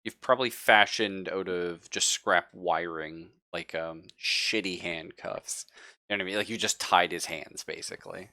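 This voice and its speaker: A man's voice: deep voice, fairly even intonation, normal speed, bright, forceful tone, no echo, clean studio sound. A man acting as game master, casually narrating a tabletop roleplaying session and thinking aloud as he goes.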